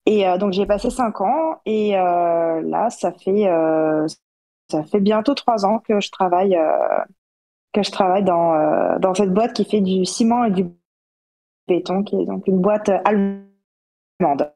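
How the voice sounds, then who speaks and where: A woman's voice: medium pitch, animated, measured pace, dark tone. A woman, answering casually as a podcast guest. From born in Russia, grew up in Paris, France. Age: 30s. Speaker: podcast guest, self-published author.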